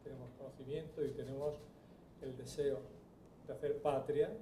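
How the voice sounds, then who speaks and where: A man's voice: medium pitch, level tone, moderate pace, mellow, slight background noise. A middle-aged man from Spain with a Spanish accent, a transplant surgeon giving a hesitant, reflective anniversary speech at a lectern. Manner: reflective, hesitant with many fillers. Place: large bright event hall. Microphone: lectern microphone. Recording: roomy hall audio picked up from a distance.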